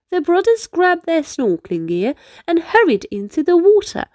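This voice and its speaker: A woman reading a children's book aloud in an expressive, deliberate storytelling manner. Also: high-pitched, no room sound, studio quality.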